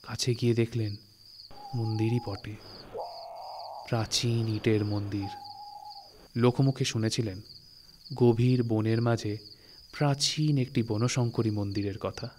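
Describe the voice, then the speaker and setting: A man narrating a literary story in a dramatic, suspenseful way, with expressive phrasing and deliberate pauses. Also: fairly low pitch, slight background noise. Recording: studio recording with music. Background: music and night insect sounds.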